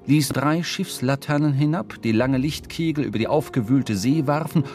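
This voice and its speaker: An actor playing a seafaring narrator, telling of whaling in measured, explanatory literary prose for a radio drama. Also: male voice, mid-range voice, a little mellow, faint music behind, close to an everyday voice. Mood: matter-of-fact.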